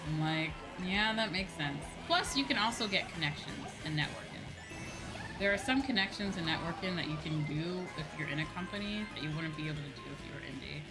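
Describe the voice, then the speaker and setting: A woman with a fairly low voice chats casually on a gaming livestream. She muses aloud in an informal way, often repeating her phrases. Music plays clearly behind her. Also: brisk. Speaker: streamer. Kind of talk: casual chat while livestreaming a video game.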